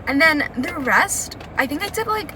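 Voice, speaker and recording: Young woman, casual guest chat, slightly high, noisy backdrop. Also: lively intonation. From Thailand. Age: young adult. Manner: chatty, laughs often, quick asides. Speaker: interview guest.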